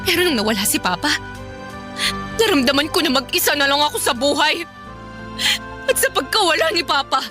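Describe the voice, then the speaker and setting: A woman's voice: sits high, pushed and bright. A woman playing a rebellious, grieving teenage stepdaughter in a radio drama, talking back with bitter sarcasm. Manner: sarcastic, insolent back-talk. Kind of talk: Tagalog radio drama acting. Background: background music.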